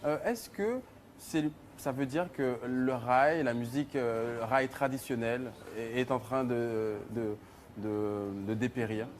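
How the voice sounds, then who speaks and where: A male television host interviewing a guest with brisk questions and frequent fillers. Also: medium pitch, a little hiss or hum. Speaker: TV show host.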